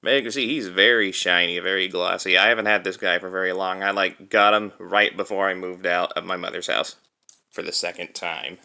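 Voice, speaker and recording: A young man giving a casual, unscripted toy review in a chatty, halting way, recorded on a cell phone. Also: sits low, fairly even intonation, gravelly, pushed and bright, clean tone. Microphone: cell phone used as camera.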